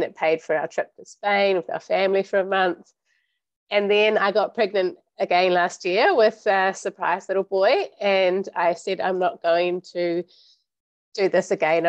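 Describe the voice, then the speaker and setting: A woman in her thirties with a croaky voice from recent illness, chatting casually about her home business. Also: on the low side, dry recording, highs cut off. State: croaky voice, getting over illness. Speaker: business mentor host.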